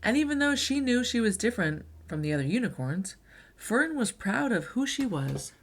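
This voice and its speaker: Expressive woman reading a picture book, lively pitch. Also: moderate pace, a little hiss or hum. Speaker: read-aloud storyteller.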